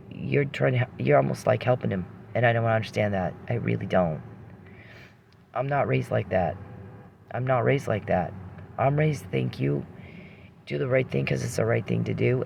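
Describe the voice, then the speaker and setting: A man with a heavily creaky, gravelly voice speaks in an unscripted personal monologue. He is emphatic and circles back over his words, breaking off and restarting, with blunt swearing. There is faint noise behind him. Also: mid-range voice, a little mellow.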